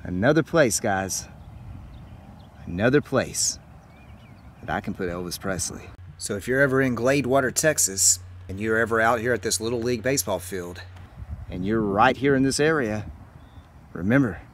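Enthusiastic low-voiced man vlogging outdoors. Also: moderate pace, long pauses. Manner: enthusiastic, addresses viewers directly. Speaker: travel vlog host. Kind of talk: on-location narration to camera about music history. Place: outdoors at a small-town baseball field. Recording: outdoor ambient noise, passing vehicles.

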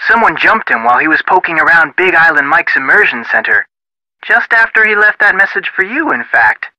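An actor playing a friendly young American amateur detective in a video game, casual, folksy and chatty. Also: male voice, on the high side, very animated, a little fast, sharp tone, no echo, muffled recording, full character voice. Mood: helpful, chatty.

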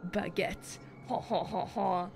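A woman's voice: mid-range voice, takes it slowly, vocal fry. A woman chatting casually on a game livestream, talking playfully to her viewers. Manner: animated, playful, chatty, addresses viewers as gamers and guys. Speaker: game streamer. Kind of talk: casual livestream chat over gameplay. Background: game music.

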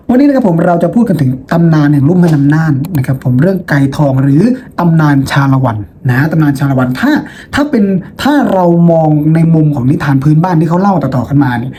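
A man's voice: a little high, warm tone. A man teaching in an informal, playful storytelling style, chatting to students and often posing rhetorical questions. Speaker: teacher and host of a lesson video. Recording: noticeable background noise.

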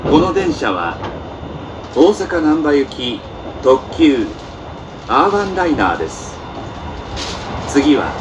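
A man's slow recorded-style announcement comes from a distant speaker in a noisy train. He reads formally, phrase by phrase, with a widely swinging intonation. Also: mid-range voice, big pitch swings. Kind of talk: scripted automated train announcement. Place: train PA heard inside a moving carriage. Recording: distant onboard speakers, loud train noise.

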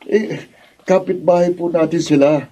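A man's voice: a little high, steady tone, moderate pace, mellow, highs cut off, lightly acted. A Filipino man acting an earnest young son in love in a radio drama. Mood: worried, determined. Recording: distant studio camera mic.

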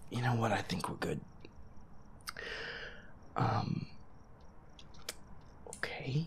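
A man's voice: lowish voice, lively intonation, drawn-out words, whispered. A man playing a feisty, foul-mouthed werewolf boyfriend in an audio roleplay, grumbling and muttering to himself as he reluctantly plays a horror game. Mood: spooked. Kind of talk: casual gameplay commentary, audio roleplay.

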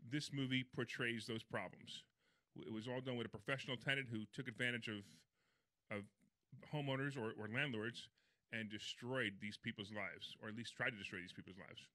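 Fast, bright-voiced radio co-host. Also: male voice, mid-range voice, fairly even intonation, no room sound. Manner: fast, jokey, playful teasing. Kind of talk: casual radio chat.

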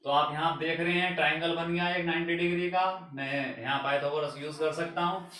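A man's voice: a little high, fairly even intonation, fairly quick, sharp tone, recorded from a distance. Young male teacher in an echoey room. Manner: asks the class questions and answers them himself, mixing Hindi and English. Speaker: physics teacher. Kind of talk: whiteboard lecture. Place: small room at a whiteboard. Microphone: camera a few meters away.

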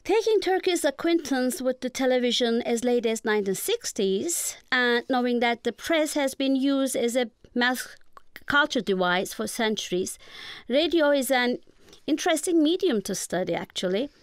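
A woman's voice: high-pitched, animated, normal speed, clear, resonant tone, no room sound. A woman with a Turkish accent, a guest professor giving explanatory answers in a radio interview.

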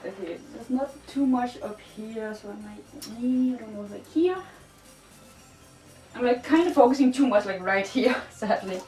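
A woman's voice: a little high, moderate pace, dark tone. Giggly young Danish-accented woman, roomy bedroom. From Denmark. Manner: bubbly, giggly, rambling with many "like"s. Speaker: vlogger. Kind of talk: casual chat while decorating. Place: bedroom, indoors. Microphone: far camera. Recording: roomy, distant, with music faint behind.

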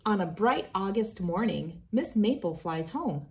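A woman in her thirties reading a picture book aloud for children, with an expressive, deliberate storytelling delivery. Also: mid-range voice.

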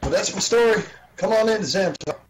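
A man's voice: high-pitched, a little fast. Man chatting casually over noisy mic. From Texas. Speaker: CB radio hobbyist. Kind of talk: casual group chat. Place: indoors, home, online voice and video chat. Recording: noisy voice chat microphone.

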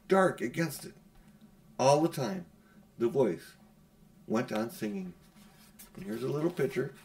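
A middle-aged man reading a children's novel aloud in a measured storytelling way, with dramatic emphasis. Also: mid-range voice, creaky, a little mellow. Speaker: audiobook reader. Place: small room at home.

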